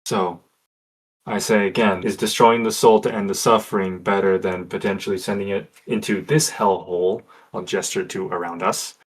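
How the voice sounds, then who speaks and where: A man with a deep voice roleplaying at a tabletop game. He lays out his argument in a measured way. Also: steady tone. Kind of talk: in-character debate.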